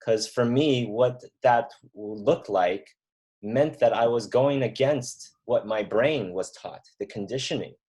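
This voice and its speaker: A man in his thirties from mainland China hosting a reflective conversation, talking in an earnest, unhurried way and thinking aloud with fillers and restarts. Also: mid-range voice, a little mellow, slightly rough. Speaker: podcast host.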